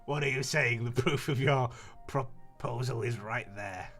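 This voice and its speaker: A polite, naive alien queen from an anime game, read by a man in his everyday register. The delivery is formal and matter-of-fact, with a bright, crisp edge. Faint music plays underneath. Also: medium pitch, unhurried, lightly acted. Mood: insistent, regal. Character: polite, literal-minded female Martian queen, naive about humans. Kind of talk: visual novel read aloud in character.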